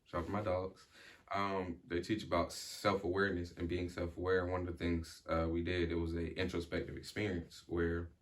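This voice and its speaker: A man's voice: low-pitched, completely flat delivery, a little fast. A young man talking reflectively in casual chat as a podcast guest, with many fillers, restarts and an occasional stutter.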